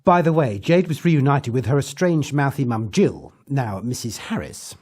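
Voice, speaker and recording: A man's voice: medium pitch, animated, moderate pace, a little mellow, lightly acted. A man playing an eccentric, whimsical time traveller, narrating an audio drama recap in an arch, mock-grand way with quaint turns of phrase. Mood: arch, brisk.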